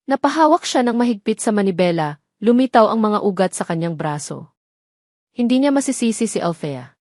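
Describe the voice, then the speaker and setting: A woman reading a scripted romance story in a measured, solemn, dramatic narrating manner. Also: medium pitch, darkish tone, dry recording, clean studio sound.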